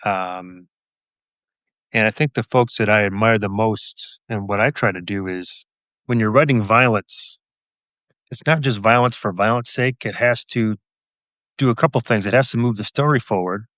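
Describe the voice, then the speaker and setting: A man answering interview questions as a guest author, casual and reflective, in a thoughtful, measured manner. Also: on the low side, a bit bright, dry recording.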